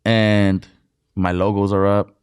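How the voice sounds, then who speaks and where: A man from Mexicali, a DJ guest on an interview show, telling stories in excited, casual talk full of filler words and swearing. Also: on the low side, slow pace, clean tone, no echo, studio quality. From Mexicali, Baja California, Mexico.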